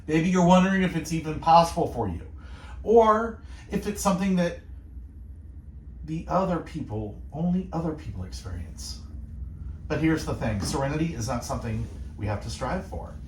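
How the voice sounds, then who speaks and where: A male radio host speaking in a calm, soothing, meditative way, gently musing and asking reflective questions. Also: a little high, normal speed, echoey room, a little hiss or hum, rough recording. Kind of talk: gentle devotional radio talk.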